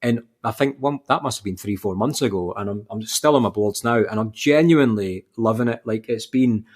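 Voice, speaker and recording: A man's voice: lowish voice, fairly quick. A man chatting casually and anecdotally as a podcast co-host.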